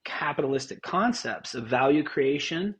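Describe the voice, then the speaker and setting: A man's voice: on the high side, animated, moderate pace, a bit bright. A man speaking as an interview guest, talking casually and energetically, swearing now and then and restarting his sentences. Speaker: guest, festival founder.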